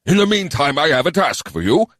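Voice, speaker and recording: Guy doing a grumbly bossy goblin mayor, raspy, animated, irritable schemer for a fantasy audiobook. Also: fairly high pitch, fairly quick, dry recording, clean studio sound, voice pushed up. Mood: scheming, commanding. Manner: grumbling, commanding. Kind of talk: audiobook character voice.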